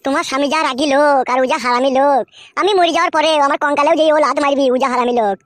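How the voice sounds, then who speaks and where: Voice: high voice, very fast, a bit bright. A performer voicing an anxious, cowardly village man in a comic cartoon, whiny, fretful and crude. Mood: panicked. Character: anxious, cowardly male village lover, whining and cursing.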